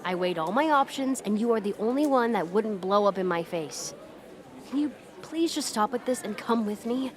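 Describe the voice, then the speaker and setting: Woman acting a bossy, flustered high-school mean girl. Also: lively intonation, a crowd in the background, close to an everyday voice. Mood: grudging, irritated. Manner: exasperated, pushy, halting when embarrassed. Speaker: voice actress in audio roleplay. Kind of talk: acted ASMR roleplay monologue to the listener.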